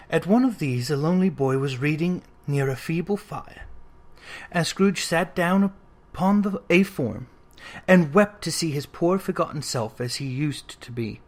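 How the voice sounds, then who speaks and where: A narrator with a medium-pitched voice reads a storybook aloud. The delivery is expressive and clearly scripted, in the manner of an audiobook.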